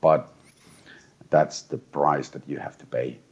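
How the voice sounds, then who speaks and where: Very deep-voiced tired Finnish man. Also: fairly even intonation, a little mellow, slightly rough, lo-fi sound. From eastern Finland. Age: 40s. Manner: blunt, swears, halting restarts. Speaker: guest. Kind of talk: casual interview talk.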